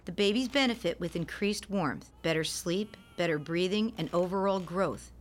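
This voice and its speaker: An actress playing an anxious, weary, gentle mother of a premature newborn in a radio play, speaking earnestly and hesitantly. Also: lowish voice, moderate pace, lightly acted. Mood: earnest, explaining.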